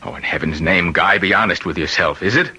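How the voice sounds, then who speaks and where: An actor playing a gravely ill, solemn and insistent man who believes a killer's spirit possesses him, in old-time radio drama style. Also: deep voice, fairly quick, bright, forceful tone, heavily acted. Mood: commanding, insistent.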